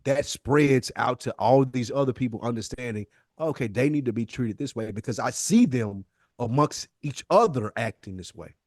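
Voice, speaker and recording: A man's voice: mid-range voice, expressive, normal speed, dry recording, clean studio sound. A man hosting a podcast, speaking earnestly and emphatically in casual, slangy talk. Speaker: podcast host.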